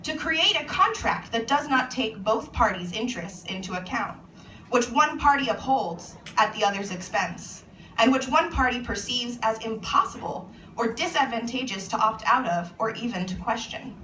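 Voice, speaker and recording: A woman with an American accent, an author reading her own monologue aloud at a live event in a measured, earnest way. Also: a little high, animated, sharp tone. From United States. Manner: measured, earnest spoken-word delivery. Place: event venue indoors with an audience. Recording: echoey room, voice far from the mic, faint noise.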